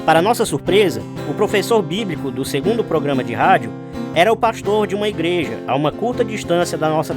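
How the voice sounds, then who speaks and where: Earnest young man acted, fairly high, over music. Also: close to an everyday voice. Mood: earnest, reflective. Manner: earnest, sincere storytelling. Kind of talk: first-person dramatized testimony narration.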